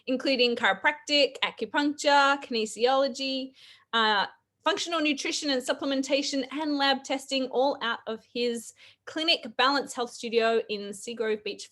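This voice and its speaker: A woman with an Australian accent hosting a live podcast chat over a video call, her voice a bit croaky, chatty and quick to laugh. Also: high-pitched, a bit bright. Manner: chatty, laughs often, fillers. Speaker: podcast host. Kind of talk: casual live-stream chat.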